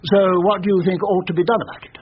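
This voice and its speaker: An actor playing a brisk, confident upper-class colonel who is easily exasperated, in a radio drama. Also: male voice, sits high, little pitch movement, slightly rough. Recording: muffled radio drama with faint noise.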